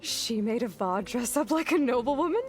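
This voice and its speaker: An actress playing a young warrior heroine in a video game, earnest, guarded but caring, with a measured, dry wit. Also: female voice, a little high, animated, faint music behind, natural voice. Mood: amused, curious. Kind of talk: video game cutscene acting.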